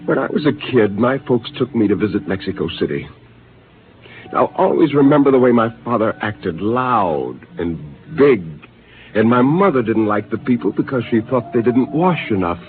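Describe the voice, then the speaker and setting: An actor playing a bitter, disillusioned astronaut who has turned rebel, speaking in an intense, brooding and defiant way in a 1950s radio drama. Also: male voice, mid-range voice, animated, moderate pace, lightly acted. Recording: hissy, lo-fi 1950s radio broadcast.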